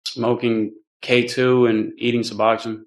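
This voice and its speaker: An American man with a flat, medium-pitched voice, explaining himself as a defendant in a courtroom. He talks colloquially and haltingly, piling up small filler phrases as he goes. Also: poor audio. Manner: halting, colloquial, leans on "like" and "you know".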